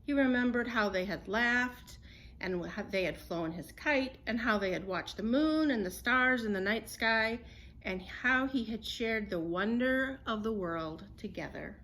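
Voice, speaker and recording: A woman storyteller reading a children's picture book aloud in an expressive, unhurried way. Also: on the high side, rough recording.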